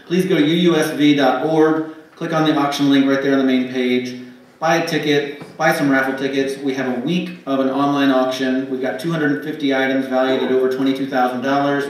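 A man's voice: medium pitch, fairly even intonation, brisk. A middle-aged man giving an informal announcement to a church congregation. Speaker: congregation member making announcement. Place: small church sanctuary, indoors. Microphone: pulpit microphone, picked up by distant camera. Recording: echoey church hall from far off.

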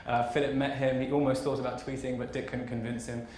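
Guy around 30 chatting through a keynote, talks quick, bit of noise behind him. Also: mid-range voice, little pitch movement, darkish tone. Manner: conversational, enthusiastic. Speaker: keynote speaker, fashion marketing executive. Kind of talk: informal conference keynote. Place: large conference auditorium stage. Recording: roomy hall echo, faint background noise.

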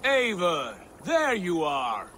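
An actor playing a proud, theatrical Viking lord with a smooth menace in a video game. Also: male voice, high voice, huge pitch swings, slow, bright, forceful tone, faint music behind, full character voice. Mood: brisk. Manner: theatrical, pompous. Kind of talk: dramatic video game acting.

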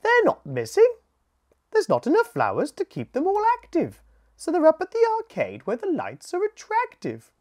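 A woman voicing a tired, frazzled queen bee in a storybook, speaking high and breathless. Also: wildly swooping pitch, normal speed, darkish tone. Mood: amused.

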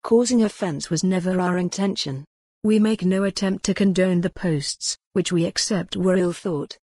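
A synthetic voice reading an online news article aloud, steady and even, saying page links and headings word for word. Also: mid-range voice, moderate pace, husky, dry recording, clean studio sound.

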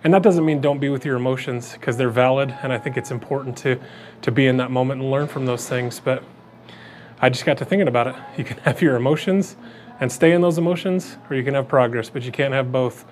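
A man's voice: medium pitch, fairly even intonation, brisk, a little hiss or hum. A man talking casually to his viewers as a vlogger, in a plain-spoken, conversational way. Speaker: vlogger on a weight loss journey. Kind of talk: casual vlog talk to camera.

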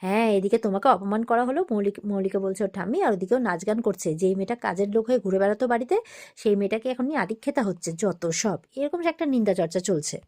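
A woman's voice: mid-range voice, rapid-fire, warm tone. A woman narrating a TV serial recap in a casual, chatty storytelling way, acting out the dialogue as she goes.

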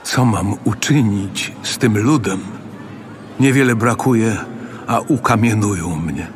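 An actor playing an exasperated, pleading leader of a thirsty people in a biblical radio drama. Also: male voice, lowish voice, takes it slowly. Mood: desperate pleading. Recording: outdoor crowd noise behind the voice.

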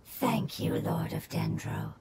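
A woman voicing a gentle, otherworldly mushroom spirit in a video game, solemn, earnest and whispery. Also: takes it slowly, background noise, natural voice. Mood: grateful. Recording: loud music behind.

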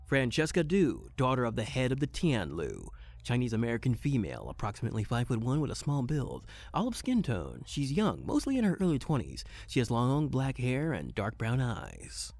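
Awkward noir detective actor, mid-pitched, stiff and exact, animated intonation, dry studio sound. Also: moderate pace, few pauses, dry recording. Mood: matter-of-fact narration. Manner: stiff, formal, precise wording. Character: formal, socially awkward detective narrator, reciting data robotically. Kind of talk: audio drama acting and noir narration.

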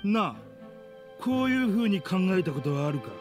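A bitter, vengeful middle-aged man from an anime game, spits rough, contemptuous accusations. His fairly high voice swings widely in pitch, with music behind it. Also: big pitch swings, moderate pace. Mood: cruel taunting. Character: bitter, vengeful man in a grudge-driven confrontation.

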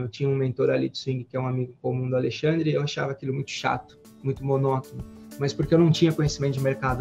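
A man in his forties telling his story in a relaxed, rambling way, with many fillers and restarts, from a home office over a headset on a video call. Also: mid-range voice, creaky. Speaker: guest trader. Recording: dull lo-fi video call audio.

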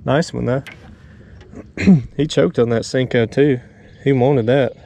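A man giving relaxed running commentary while fishing from a small boat on a pond, recorded on a head-mounted action camera. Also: mid-range voice, slow. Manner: relaxed, talks to himself while fighting fish. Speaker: fishing vlogger. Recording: outdoor action camera with clear background noise and reel clicks.

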